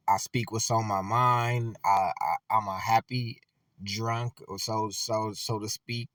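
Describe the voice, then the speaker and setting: A man's voice: lowish voice, a bit bright, no echo, recorded from a distance. A man giving loose, conversational reaction commentary, full of filler words.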